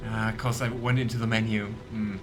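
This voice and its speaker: A man's voice: on the low side, level tone, normal speed. A man hosting a let's play, giving casual, amused gameplay commentary with rambling asides. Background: game music.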